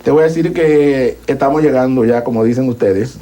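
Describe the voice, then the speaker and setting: A man's voice: mid-range voice, moderate pace, mellow. A middle-aged man with a Cuban accent telling stories in an interview in a blunt, colloquial, emphatic way. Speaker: interviewee, former prisoner. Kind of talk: casual storytelling in interview.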